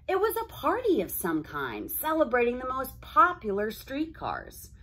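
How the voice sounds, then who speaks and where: A woman's voice: high voice, huge pitch swings, measured pace, fairly bright tone, slightly rough. A woman narrating a children's storybook with dramatic emphasis on key words. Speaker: storybook reader.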